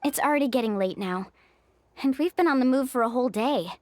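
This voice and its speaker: A woman's voice: high-pitched, lively intonation, fairly quick, a little mellow, no room sound. A woman playing an upbeat, caring young tour guide in a video game, with a reassuring, confident manner.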